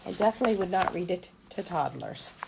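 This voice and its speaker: A woman reading a children's storybook aloud and adding casual, unhurried commentary of her own. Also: on the low side, animated, gravelly, noisy background.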